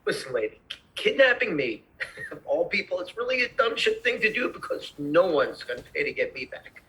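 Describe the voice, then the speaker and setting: A woman's voice: lowish voice, big pitch swings, moderate pace, a bit bright, poor audio. A woman playing a bitter, foul-mouthed male tax auditor in a screenplay table read, irritable and sarcastic. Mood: sarcastic contempt.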